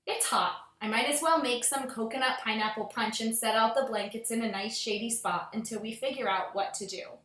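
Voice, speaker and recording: An upbeat, practical mother from a storybook, acted lightly by a woman reader. She sounds reassuring and matter-of-fact in a fairly high voice. The recording is roomy and echoing. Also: a little fast, hardly any pauses, fairly bright tone, recorded from a distance, natural voice.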